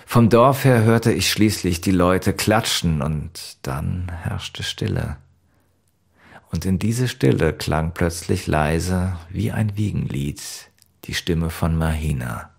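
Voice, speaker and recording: A man narrating a first-person story from a script in a measured, wistful way. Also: sits low, animated, gravelly, husky. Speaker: audiobook narrator.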